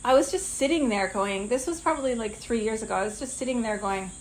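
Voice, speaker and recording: A woman in her fifties teaching a live online masterclass, talking conversationally and turning lively when she acts out what people say. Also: fairly high pitch, fast, slight background noise, poor audio. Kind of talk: live online class talk.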